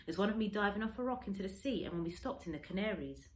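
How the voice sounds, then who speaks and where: A woman teacher reading a children's storybook aloud with an expressive, dramatic storytelling delivery. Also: mid-range voice, fast talker, reverberant, slight background noise. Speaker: schoolteacher.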